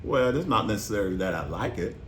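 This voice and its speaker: Middle-aged carolina dad, lowish voice, slow preachy stories. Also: male voice, animated. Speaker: father. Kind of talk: casual chat. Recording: echoey room, faint noise.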